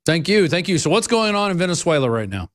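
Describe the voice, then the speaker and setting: A man hosts a call-in radio show in a voice a little higher than most men's. He sounds brisk, upbeat and conversational, keeping things moving as he brings callers on and answers them. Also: very animated, fairly bright tone, a little hiss or hum. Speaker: radio talk show host.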